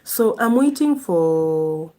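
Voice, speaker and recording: A chatty woman hosts a relaxed live stream, talking straight to her viewers and laughing often. Her voice sits a little low and sounds mellow and warm. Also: slow. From Kenya. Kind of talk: casual live chat reading viewer comments.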